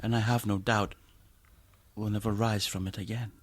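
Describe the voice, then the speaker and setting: An actor playing an ailing, nervous aristocrat in a radio drama, theatrical and overwrought, swinging between rapture and gloom. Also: male voice, fairly low pitch, little pitch movement, normal speed, natural voice. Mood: brooding. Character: ailing, nervous, morbidly sensitive aristocrat, given to frenzy.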